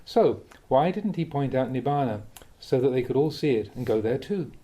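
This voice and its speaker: A man, a Buddhist monk, reading a dharma talk aloud in a measured, reflective way with the occasional soft chuckle. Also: mid-range voice, expressive.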